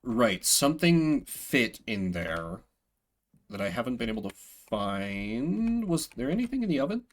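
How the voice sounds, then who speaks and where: A man's voice: mid-range voice, very animated, a little slow. A man doing live game commentary in a playful, sweary way, reading bits of text aloud and chatting with viewers. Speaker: game streamer. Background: video game music.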